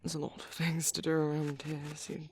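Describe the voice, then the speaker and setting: A man playing a tender, caring vampire priest in an ASMR roleplay, speaking softly and hesitantly in a soothing, coaxing way. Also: on the high side, completely flat delivery, a little fast. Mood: gentle, hesitant. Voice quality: soft, breathy, hushed. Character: caring, tender vampire priest comforting his sleepy partner.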